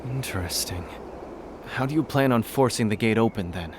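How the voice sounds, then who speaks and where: A man playing a noble, earnest young warrior prince in a video game, speaking in a measured, formal way. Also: fairly low pitch, background noise, close to an everyday voice. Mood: questioning. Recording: loud music behind the voice. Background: game music.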